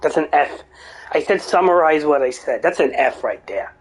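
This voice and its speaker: A young man streaming a game, rambling and ranting, swearing now and then, his voice going hoarse. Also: on the high side, expressive, moderate pace, a little hiss or hum, low-quality recording. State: voice getting hoarse. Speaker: gaming streamer. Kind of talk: rambling live-stream chat.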